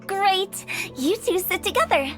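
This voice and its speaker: A woman's voice: extremely high pitch, expressive, unhurried, music in the background. A woman playing a playful, bossy young female director in an anime game, with theatrical, teasing, exclamatory delivery. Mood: playfully bossy.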